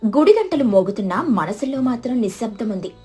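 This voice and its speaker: A woman narrates a scripted moral tale. Her voice sits slightly high and moves with very animated intonation, over soft background music. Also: fast. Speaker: story narrator.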